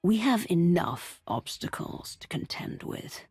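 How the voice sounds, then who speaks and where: A bossy sith lady for a game, cool n decisive, lowish voice w lots of inflection. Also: big pitch swings, measured pace, darkish tone, breathy edge, faint music behind, exaggerated voice. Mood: businesslike. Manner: assertive, cool. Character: commanding, decisive female Sith agent of the Empire. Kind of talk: video game voice acting.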